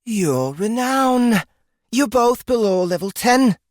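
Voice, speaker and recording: Casting: seasoned woman of the woods played by a narrator, high, animated, mocking and blunt, fantasy audiobook. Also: takes it slowly, no room sound, studio quality. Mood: incredulous. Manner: blunt, amused, exclamatory. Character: brash, mocking, experienced woodswoman of fantasy world.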